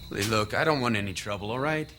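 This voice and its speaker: An actor playing an uneasy, restrained younger brother in a stage drama, with a terse, placating manner. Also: male voice, fairly low pitch, fast talker, sharp tone, soft background music. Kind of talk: naturalistic stage-play acting. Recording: noisy recording, chirping crickets behind.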